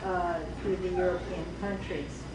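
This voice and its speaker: A woman's voice: on the low side, steady tone, measured pace. A woman musician in the audience speaking in a hesitant, thoughtful way. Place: bookstore event room. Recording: echoey room, distant mic, background noise.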